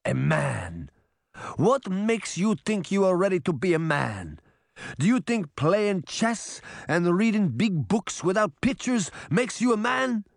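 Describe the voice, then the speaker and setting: A man playing a stern, indignant old father in a storybook, scolding forcefully. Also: sits high, very animated, slow pace, gravelly, no echo, studio quality, pitched above the performer's own voice, full character voice. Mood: scornful.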